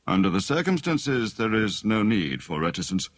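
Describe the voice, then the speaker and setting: A man voicing a kind, worried elderly doctor in an audiobook, speaking in a formal, deliberate, clinical way. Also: fairly low pitch, sing-song, no room sound, studio quality. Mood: grave, measured.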